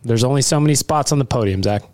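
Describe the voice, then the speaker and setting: A man's voice: medium pitch, quick pace, darkish tone, no room sound. A man, co-hosting a casual sports betting podcast, loose and profane, laughing often. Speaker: podcast co-host.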